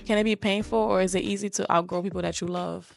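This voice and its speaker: Gravelly young Haitian-born woman hosting. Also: medium pitch, fast talker, faint music behind. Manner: slangy, relaxed, laughs often. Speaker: podcast host. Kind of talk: casual chat.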